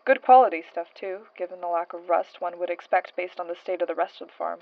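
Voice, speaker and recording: Woman playing a wry, low-pitched noir investigator, dulled sound. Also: fast talker, creaky, no echo, muffled recording. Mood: grim, shaken. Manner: wry, world-weary, slangy. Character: hard-boiled female paranormal investigator in 1920s Toronto, wry. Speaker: investigator and narrator. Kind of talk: audio drama, noir-style recorded case statement.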